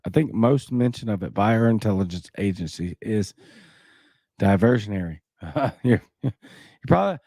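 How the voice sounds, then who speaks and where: A man's voice: lowish voice, animated, moderate pace, long pauses, warm tone, no room sound, clean studio sound. A man with a Cajun accent hosting a casual livestream, reading news and viewer comments with skeptical, rambling asides. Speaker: livestream host.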